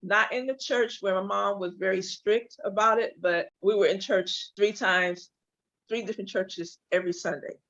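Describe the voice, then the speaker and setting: A woman's voice: medium pitch, moderate pace. Chatty Los Angeles woman, lo-fi sound. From Los Angeles, California. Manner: open, storytelling, animated at times. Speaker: guest, hair and makeup artist. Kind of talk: casual interview chat. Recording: dull lo-fi audio.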